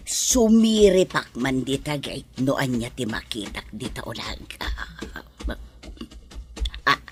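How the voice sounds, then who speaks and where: A woman's voice: low-pitched, slight background noise, heavily acted. A woman acting in an Ilocano audio drama as a nosy, gossipy villager, speaking in an emphatic, insistent way.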